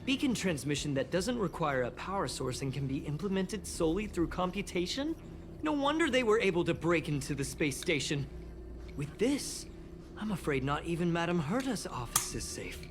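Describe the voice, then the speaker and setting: Animated, excitable young researcher. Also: female voice, on the low side, moderate pace, noisy background. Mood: intrigued, worried. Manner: expressive, with sighs, gasps and exclamations. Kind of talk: game dialogue acting.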